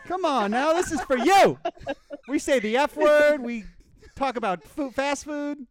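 A man's voice: very high voice, unhurried. A man from Arkansas co-hosting a podcast, chatting casually with jokes and free swearing. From Arkansas, United States. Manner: joking, swears freely. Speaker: podcast co-host. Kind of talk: casual chat.

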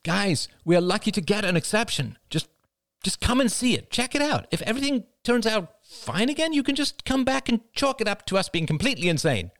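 A man's voice: high-pitched, big pitch swings, a little fast, a bit bright, no room sound, studio quality, voice pushed up, exaggerated voice. A man voicing an anxious, exasperated young mother in an audiobook, urgent and pleading. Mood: frantic, pleading. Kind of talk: audiobook character voice.

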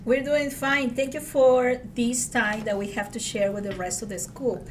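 Female teacher, polite formal tone, distant from mic, faint rumble. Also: fairly even intonation, normal speed. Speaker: Spanish teacher.

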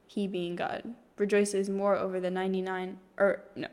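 A woman's voice: medium pitch, little pitch movement, moderate pace, darkish tone. Chatty teen girl co-host, reverberant room. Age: teens. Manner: chatty, corrects herself mid-sentence. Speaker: podcast co-host. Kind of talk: casual faith chat.

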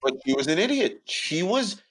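A man's voice: sits high, very animated, moderate pace, a bit bright, rough recording. A young man, telling stories bluntly and building up jokes on a podcast. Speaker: podcast co-host. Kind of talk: casual storytelling chat.

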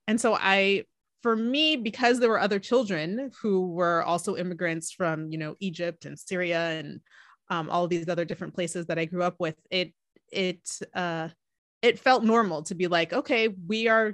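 A woman's voice: fairly low pitch, normal speed, fairly bright tone, dry recording. A woman from California, a spiritual coach guest in an interview, speaking thoughtfully with frequent ums. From United States, California. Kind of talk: conversational interview.

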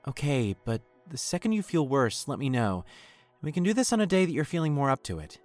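A man's voice: on the high side, very animated, fairly quick, darkish tone, faint music behind. An actor playing an earnest, lovestruck young man in an audio drama, a little hesitant and awkward. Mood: concerned. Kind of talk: naturalistic audio drama acting.

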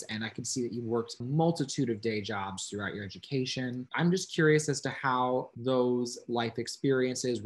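Male interviewer, mid pitch, formal and measured questions.

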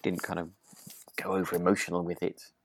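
A man co-hosting a podcast in relaxed discussion, feeling his way through sentences with halting, hesitant phrasing. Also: low voice. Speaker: podcast co-host. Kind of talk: relaxed discussion of a radio drama.

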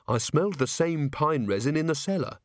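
A man voicing a sharp, dry-witted female watch officer with a matter-of-fact manner in an audiobook. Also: fairly high pitch, lively intonation, a little fast, no room sound, voice pushed up, close to an everyday voice. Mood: dry, curious.

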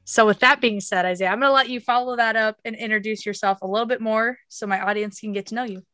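A woman's voice: on the high side, steady tone, fast, no echo. Woman podcast host, dry close sound. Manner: warm, effusive, earnest. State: recovering from illness, voice off. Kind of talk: casual podcast chat.

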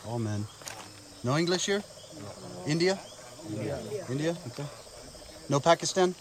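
A man reporting from the field, asking quick blunt questions outdoors at night. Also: on the high side, animated, a crowd in the background. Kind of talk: on-the-ground questioning.

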